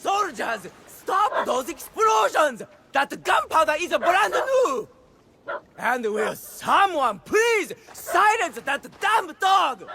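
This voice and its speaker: A man playing a pompous, panicky samurai lord in a video game, blustering and shrill. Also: sing-song, slow, vocal fry, shouting, background noise, full character voice. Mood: furious shouting. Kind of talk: video game cutscene voice acting.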